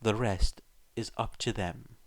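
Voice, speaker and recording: Man, podfic reader, lower pitch, storytelling or casual talk, dry sound without room echo. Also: fairly even intonation, takes it slowly. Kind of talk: scripted story narration, casual chat afterwards.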